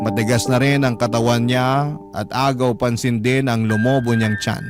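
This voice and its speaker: A man with a Filipino accent giving a sorrowful, emotive dramatic narration of a letter. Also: mid-range voice. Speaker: narrator reading a listener's letter. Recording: loud music bed behind narration.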